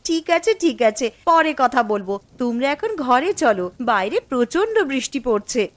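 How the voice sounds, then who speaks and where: A woman's voice: sits high, very animated, moderate pace. A woman voicing a busy young housewife and mother in a cartoon, practical and a little scolding. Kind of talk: cartoon voice acting.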